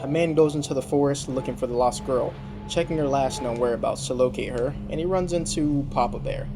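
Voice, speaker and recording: A man's voice: mid-range voice, fairly quick. A young man doing casual recap commentary on a story, slangy and given to incredulous asides. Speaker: video commentator. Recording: loud music bed under voice. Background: loud background music.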